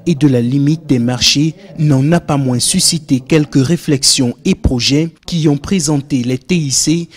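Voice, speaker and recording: A man's voice: on the high side, normal speed, hardly any pauses. Man narrating a documentary report. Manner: formal, even reading. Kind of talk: scripted narration.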